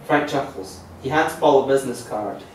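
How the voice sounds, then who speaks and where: A man's voice: medium pitch, normal speed. A man narrating stage directions from a script at a table read. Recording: echoey room, distant mic, faint noise.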